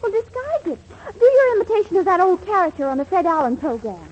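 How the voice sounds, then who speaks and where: An actress playing a brisk, bossy young girlfriend full of schemes in a 1940s radio comedy, urgent and coaxing. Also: extremely high pitch, very animated. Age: young adult. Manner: quick-thinking, urgent, coaxing. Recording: muffled 1940s radio, faint audience noise.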